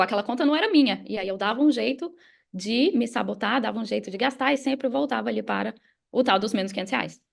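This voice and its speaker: A woman with a Brazilian accent telling a personal story casually as a podcast guest, conversational and engaging listeners directly. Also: sits high. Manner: conversational, engages listeners with questions and tag words.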